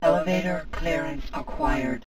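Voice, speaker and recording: A woman voicing a computer announcer in a game, reading status messages slowly. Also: lowish voice, lightly acted. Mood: announcing. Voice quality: slow, flat announcing. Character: female computer voice of a game base. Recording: noisy game audio capture.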